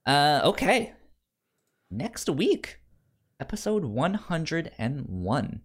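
A man's voice: fairly high pitch, big pitch swings, slow pace, husky. A man hosting a podcast in casual review chat, rambling a little as he thinks aloud. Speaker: podcast host.

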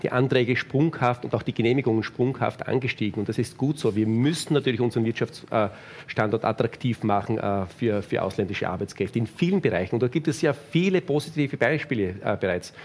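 A man, a politician in a debate, answering in an assured, steady way. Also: mid-range voice, fairly quick. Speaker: politician guest.

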